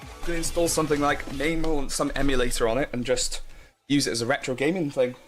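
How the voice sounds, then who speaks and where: A man's voice: mid-range voice, fairly even intonation, fast talker. Young guy rambling on stream, loads of ums and likes, faint music under him. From Wales. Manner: rambling, chatty, lots of fillers and restarts, laughs often. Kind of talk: casual live stream chat.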